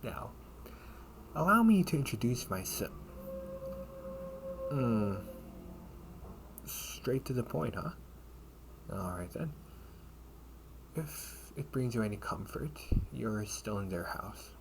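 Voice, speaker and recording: A man playing a protective older brother and taunting captor in an amateur audio roleplay, sardonic and menacing, with casual, halting delivery. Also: medium pitch, very animated. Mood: threatening. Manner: casual, halting, mocking, breathy asides. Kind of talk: amateur audio roleplay acting, one-sided dialogue. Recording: noisy amateur home recording.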